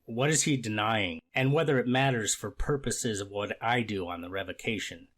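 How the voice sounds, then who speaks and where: Man reading as a formal, measured judge. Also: on the low side, fairly bright tone. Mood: concerned, firm. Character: deliberate, fact-minded male federal judge in a hearing. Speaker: judge reading and questioning counsel. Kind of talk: readers theater court transcript reading.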